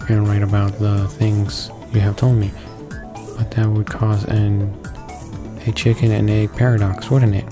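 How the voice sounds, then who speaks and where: A man reading visual novel text aloud as narration, sometimes stumbling over the words. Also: fairly low pitch. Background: game background music.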